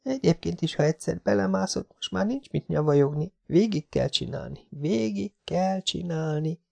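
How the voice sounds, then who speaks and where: A man's voice: a little high, lively intonation, gravelly, voice pushed up, full character voice. A man voicing a frightened young woman, counting under his breath and whispering her panicked thoughts in a storybook. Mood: anxious whisper. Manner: breathless, hushed inner counting.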